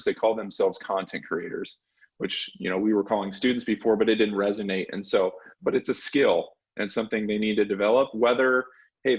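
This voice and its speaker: Man, low husky voice, conversational interviewee, explanatory pitch, dull lo-fi recording. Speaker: interviewee, education software representative.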